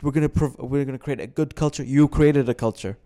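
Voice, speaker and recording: A man's voice: mid-range voice, brisk. A young man from Kuwait with an American-sounding accent, co-hosting a casual sports chat and giving his opinions. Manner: opinionated, animated when ranting. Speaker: podcast co-host.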